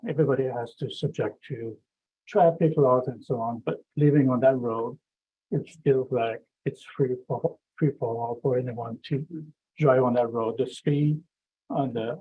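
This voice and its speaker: Guy with a low husky voice, halting public comment at a town meeting, sounds tinny like over a phone. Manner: hesitant, many ums and restarts. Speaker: resident speaking at public comment.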